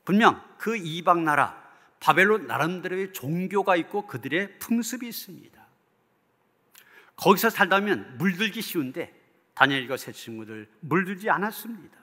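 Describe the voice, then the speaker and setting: A man's voice: high-pitched, big pitch swings, moderate pace, pushed and bright. A man preaching as a pastor in an earnest, emphatic and rhetorical manner. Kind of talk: sermon preaching, closing in prayer. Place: church hall. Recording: long hall reverb.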